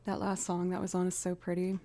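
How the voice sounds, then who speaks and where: A woman with a low alto voice, livestreaming as a musician and chatting casually while she builds loops, often thinking out loud. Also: completely flat delivery, a little fast, faint music behind. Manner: chatty, talks aloud to herself.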